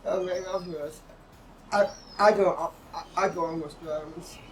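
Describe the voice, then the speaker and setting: A man speaking casually in an interview, haltingly, often starting a phrase over before finishing it. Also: a little high, steady tone, a little hiss or hum. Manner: halting, repeats phrase openings. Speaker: actor and drummer in a stage play being interviewed. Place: outdoors on a sea front. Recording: distant mic outdoors, faint music behind.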